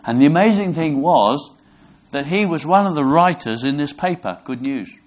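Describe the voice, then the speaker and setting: A man from Brixham, England, preaching a sermon in an earnest, halting way, often restarting his words. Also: on the high side, animated. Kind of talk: sermon to a congregation.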